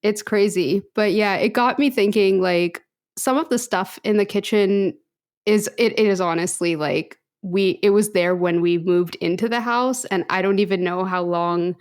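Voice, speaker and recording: A woman's voice: medium pitch, moderate pace, smooth. A woman co-hosting a podcast in casual chat, rambling and laughing often. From United States. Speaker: podcast co-host.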